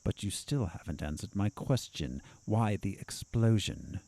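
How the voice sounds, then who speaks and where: An actor playing a sardonic asylum doctor in an audio drama, probing and needling in a confrontational way. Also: male voice, on the low side, lively intonation, measured pace, vocal fry, dark tone, no echo, exaggerated voice. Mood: skeptical.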